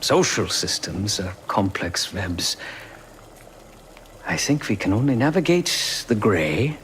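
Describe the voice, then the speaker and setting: A man playing a remorseful, pacifist former enforcer in a video game cutscene, speaking in formal, measured, philosophical phrases. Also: fairly low pitch, sing-song, fairly bright tone, a little hiss or hum, heavily acted. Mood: reflective.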